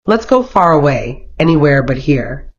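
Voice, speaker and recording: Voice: medium pitch, sing-song, unhurried, a little hiss or hum. A storyteller voicing a defiant young woman desperately in love, passionate and pleading, in a folktale. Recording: dull, lo-fi sound.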